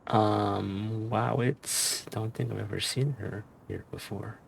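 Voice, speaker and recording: A man giving casual gameplay commentary as a streamer, sometimes reading game text aloud, with a gravelly voice. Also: fairly low pitch, steady tone, slow, slight background noise. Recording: faint game music behind. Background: game music.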